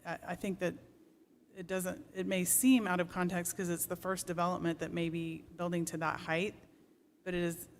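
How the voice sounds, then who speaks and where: A city planning staffer explaining regulations at a public meeting in a measured, careful, explanatory way. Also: medium pitch, creaky. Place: public commission meeting room. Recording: noisy meeting room ambience.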